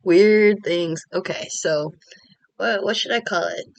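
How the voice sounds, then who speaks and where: A woman's voice: medium pitch, slow, vocal fry, no echo. Candid, rambling woman vlogging at home. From Korean American. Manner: candid, rambling, self-deprecating. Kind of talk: casual confessional vlog.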